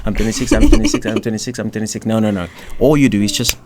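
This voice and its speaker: A man co-hosting a casual coaching chat about self-concept, emphatic and fond of repeating phrases and saying "boom". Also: wildly swooping pitch, racing, over a noisy crowd. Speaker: manifestation coach, co-host.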